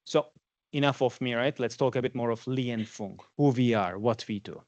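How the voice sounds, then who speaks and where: A man's voice: medium pitch, normal speed, no echo, muffled recording. Guy from turkey in his 40s, guest lecture for students, chatty, throws questions at the room, dry close sound. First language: Turkish. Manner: conversational, asks the audience questions. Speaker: guest industry speaker.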